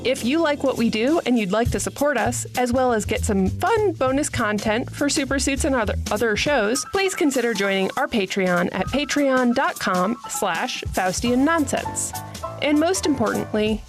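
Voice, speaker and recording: Female showrunner for scripted podcast end credits, voice on the higher side, light music underneath. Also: animated, moderate pace.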